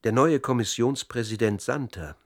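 A man's voice: medium pitch, natural voice. A man in a radio drama playing a precise, formal psychologist in his fifties giving testimony under interrogation. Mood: measured, confessing. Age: 50s. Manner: measured, formal, explanatory.

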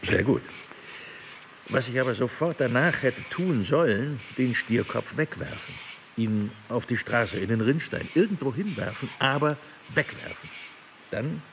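An actor playing a wry, musing artist in a radio play, speaking deliberately and savoring the pauses with a gravelly voice. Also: male voice, medium pitch, animated, a bit bright, a little hiss or hum. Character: wry musing male artist reciting his thoughts. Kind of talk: literary radio play monologue and poetry recitation.

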